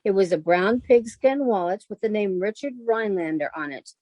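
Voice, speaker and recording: A woman's voice: mid-range voice, animated, moderate pace, dark tone, no room sound, natural voice. A woman playing a sensible, exasperated young roommate in a 1940s-style radio comedy. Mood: exasperated patience.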